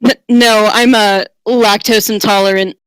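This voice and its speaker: A woman's voice: mid-range voice, steady tone, a little slow, clean tone, no echo, close to an everyday voice, low-quality recording. A woman voicing a boastful male paladin, pompous and blustering in a fantasy romance. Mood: flustered. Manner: pompous, blustering, stammering when flustered. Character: boastful, handsome mustached male paladin, easily flustered.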